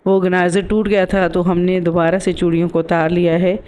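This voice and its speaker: A woman's voice: fairly low pitch, flat delivery, brisk, a little mellow. A woman with a sore throat giving a casual voice-over for a DIY craft tutorial as the host.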